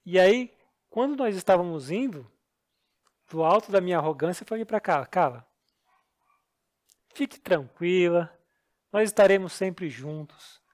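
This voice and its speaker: Brazilian man, podcast co-host, high-pitched, earnest self-deprecating storyteller. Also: lively intonation, unhurried, long pauses, a little mellow. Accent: Brazilian Portuguese. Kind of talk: casual storytelling chat.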